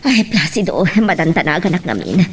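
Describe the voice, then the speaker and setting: A woman's voice: on the low side, sing-song, normal speed, husky, distant mic, full character voice. A woman with an Ilocano accent acting an anxious woman in an audio love drama. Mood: worried.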